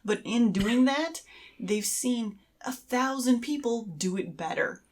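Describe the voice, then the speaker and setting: A woman's voice: on the high side, animated, slow, darkish tone, slight background noise. A middle-aged woman chatting as a podcast co-host, agreeably explaining and giving casual advice. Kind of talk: casual conversational advice on creativity.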